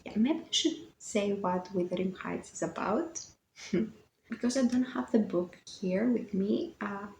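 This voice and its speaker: A woman's voice: mid-range voice, gravelly, a little mellow, echoey room, slight background noise, poor audio. A Greek woman in her twenties with a Greek accent, speaking English as a second language, chatting casually to camera about books, a bit self-conscious and laughing often. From Greece. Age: 20s. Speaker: book vlogger.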